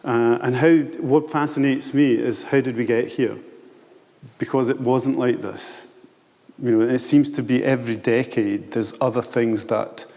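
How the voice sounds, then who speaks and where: Older Italian-accented man, wry and mellow. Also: medium pitch, steady tone, a little slow. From Italy. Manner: wry, self-deprecating, frank. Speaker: architect and planner on a panel. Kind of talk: candid panel talk. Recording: long hall reverb.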